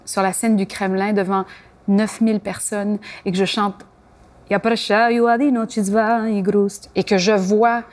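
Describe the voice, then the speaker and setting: A woman's voice: medium pitch, level tone, unhurried, a little mellow. A woman interviewed as a singer, telling stories in a thoughtful, reflective way and sometimes searching for her words.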